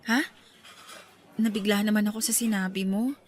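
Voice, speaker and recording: A woman playing a young Filipino girlfriend in a radio drama, polite, bashful and gently chiding. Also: medium pitch, steady tone, moderate pace, a little hiss or hum, natural voice. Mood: flustered, shy.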